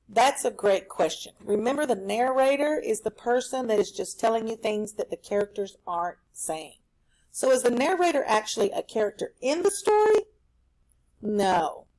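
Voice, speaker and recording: A woman teaching a recorded reading lesson for children at home, explaining a story in an animated, explanatory way. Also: mid-range voice, low-quality recording.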